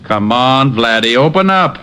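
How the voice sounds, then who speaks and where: An actor playing a wisecracking, unflappable American private detective, dry and breezy in a 1940s radio drama. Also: male voice, medium pitch, animated, unhurried, pushed and bright, a little hiss or hum. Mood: patient, teasing.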